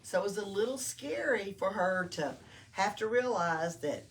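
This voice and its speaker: Animated woman reading aloud to children. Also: medium pitch, normal speed. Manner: explains simply, prompts with tag questions. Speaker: library storytime reader. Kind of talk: read-aloud to young children with questions. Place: small-town library. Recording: distant mic with background noise.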